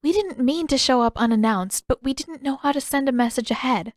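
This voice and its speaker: An earnest teenage girl, played by a woman reading a story. She speaks in a raised pitch, polite and careful, determined but pleading. The recording is close and dry. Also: brisk, no room sound, lightly acted.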